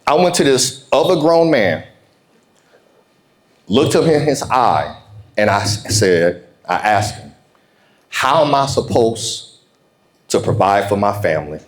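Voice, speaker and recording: A man's voice: fairly low pitch, sing-song, takes it slowly, long pauses. An American man speaking informally and directly to an audience as he gives a motivational keynote talk. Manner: conversational, informal, addresses listeners directly. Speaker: keynote speaker, entrepreneur coach.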